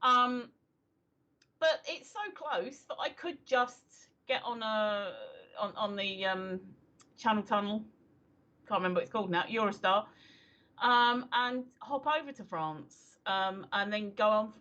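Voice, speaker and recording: A woman's voice: mid-range voice, unhurried, bright, forceful tone. Hesitant woman around fifty, slightly lo-fi. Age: 50s. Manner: hesitant, full of ums, laughs easily. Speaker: co-host of a livestream talk show. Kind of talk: casual chat. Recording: slightly dull lo-fi audio.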